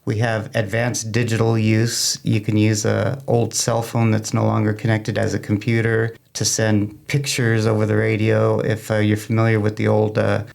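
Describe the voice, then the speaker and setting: An American man explaining his hobby informally as an interview guest, in halting, folksy plain terms. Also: fairly low pitch, monotone, vocal fry, darkish tone. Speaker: amateur radio club member, interview guest.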